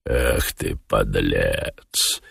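A man voicing a weary, commanding lord of darkness in an audiobook, brooding, slow and imperious with quiet menace. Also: a bass voice, animated, creaky, husky, no room sound, studio quality, full character voice. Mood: brooding contempt. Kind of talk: audiobook character voice.

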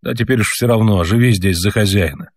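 A man voicing a bitter, wounded soldier father who reproaches his family and shouts at them. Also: on the low side, brisk, dry recording, studio quality. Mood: grumbling.

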